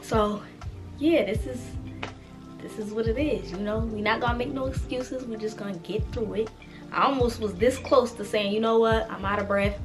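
A woman's voice: on the high side, normal speed, over music. Chatty young woman with a cold. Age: 20s. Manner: chatty and animated, talks straight to viewers. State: congested with a cold. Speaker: vlogger. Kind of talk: casual chat to camera.

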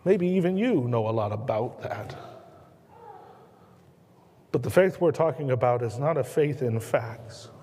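A man in his thirties with an American accent, preaching a sermon from the pulpit in earnest, slowing and dropping his voice on key words. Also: a little mellow, a little hiss or hum. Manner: earnest preaching, slows and drops low on key words, gestures a lot. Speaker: pastor. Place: church sanctuary at a pulpit. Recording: long church reverb.

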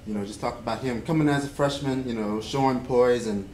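A man's voice: mid-range voice, a little fast, darkish tone. Male interviewer, casual, hesitant, roomy echo, faint hiss. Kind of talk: postgame interview questions. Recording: echoey room with faint noise.

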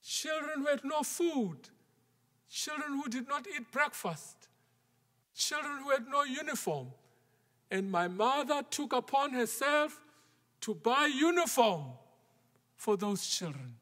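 A middle-aged male minister preaching a sermon from the pulpit, in a measured style that sometimes drops to a near whisper for emphasis. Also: extremely high pitch, animated, bright, forceful tone. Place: large wooden church sanctuary, at the pulpit. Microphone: pulpit microphone. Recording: long church reverb.

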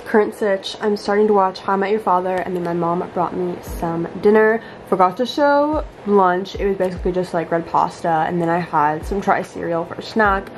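A woman's voice: lowish voice, moderate pace, hardly any pauses, slight background noise, low-quality recording. A young woman in her late teens, congested with a cold, chatting casually and upbeat to a handheld camera at home, full of "like". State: congested, stuffy-nosed. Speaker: vlogger. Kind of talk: casual vlog chat. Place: sunroom at home, indoors. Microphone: camera held at arm's length.

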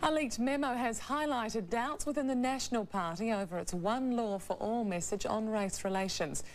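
A woman's voice: on the high side, moderate pace, slight background noise. A female news presenter with a New Zealand accent, reading a scripted bulletin.

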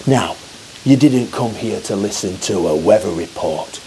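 Slow gravelly Yorkshire man storyteller. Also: fairly low pitch, lively intonation, dark tone, slight background noise. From Yorkshire, England. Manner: theatrical, chatty storyteller addressing viewers directly. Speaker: channel host and history storyteller. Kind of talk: costumed dramatic history storytelling. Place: indoor studio in front of a backdrop screen.

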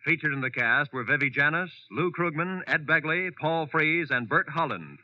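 Higher-pitched American vintage radio announcer, man. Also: animated, normal speed, no room sound. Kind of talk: formal 1940s radio announcing, scripted credits. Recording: dull, dry old radio recording, faint music.

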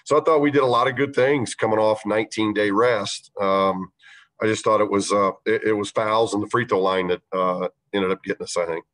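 Low-voiced guy coaching basketball, talking after the game, kinda halting with lots of ums. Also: fairly even intonation, fairly bright tone. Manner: measured, halting, many fillers. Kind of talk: post-game press conference answers.

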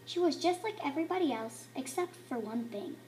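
High-pitched, animated woman reading a storybook. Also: a little fast, low-quality recording. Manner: animated, acting out dialogue and emphatic words. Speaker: storybook reader. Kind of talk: expressive children's storybook read-aloud.

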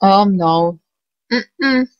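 A woman's voice: medium pitch, expressive, drawn-out words, lo-fi sound. A woman giving casual, unscripted game commentary, reacting in short broken phrases. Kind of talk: casual game commentary with bits of on-screen text read out.

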